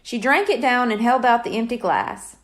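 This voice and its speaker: Female children's audiobook narrator, high-pitched, expressive and very lively. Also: normal speed. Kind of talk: scripted storybook narration.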